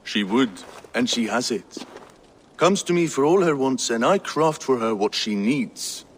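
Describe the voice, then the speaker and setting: A man's voice: mid-range voice, lively intonation, measured pace, close to an everyday voice. Blunt, earthy village craftsman played by a man. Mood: blunt, proud. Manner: blunt, folksy, conversational. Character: gruff, chatty, earthy medieval village craftsman. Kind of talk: video game character dialogue. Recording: some reverb and faint noise.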